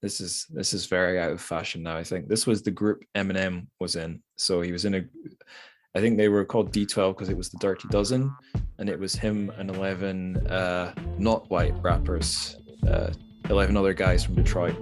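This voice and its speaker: Male podcast host, deep register, casual conversational delivery, wry and unpolished. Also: steady tone, normal speed, faint music behind. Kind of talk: casual book podcast chat.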